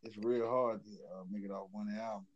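A man's voice: lowish voice, steady tone, moderate pace, lo-fi sound. A man from Louisiana in casual chat, laid-back and stumbling over his words. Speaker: chat partner.

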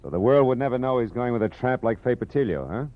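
An actor playing a wry, terse, hard-boiled private detective who also narrates in the first person, in 1940s radio style. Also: male voice, medium pitch, animated, fast talker, close to an everyday voice. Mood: tough. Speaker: narrator and lead character. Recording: dull lo-fi old radio recording.

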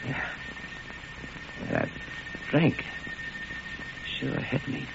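A man's voice: mid-range voice, huge pitch swings, extremely slow, creaky, heavily acted. An actor playing a crude, greedy, menacing gangster with an American accent and slangy tough-guy talk in an old radio drama. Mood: drugged, fading. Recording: muffled, noisy old radio recording.

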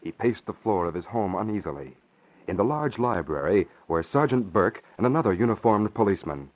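A man's voice: fairly low pitch, animated. Emphatic male narrator on muffled old radio. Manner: measured, emphatic. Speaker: announcer and narrator. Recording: muffled old radio broadcast, background noise.

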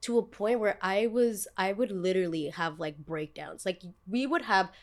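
A woman's voice: medium pitch, moderate pace. A young woman with a New Jersey accent, chatting casually as a podcast host in a blunt, emphatic, slangy way. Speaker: podcast host, content creator.

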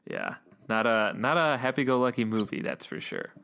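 A man co-hosting a podcast in casual chat, relaxed and chatty, laughing often, saying he is losing his voice. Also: medium pitch, moderate pace, creaky, clear, resonant tone. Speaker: podcast co-host.

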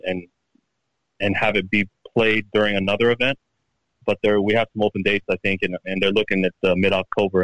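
A man with Tongan as his first language, a pro golfer guest in a relaxed interview chat, often repeating and restarting his words. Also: fairly low pitch, dry recording, low-quality recording.

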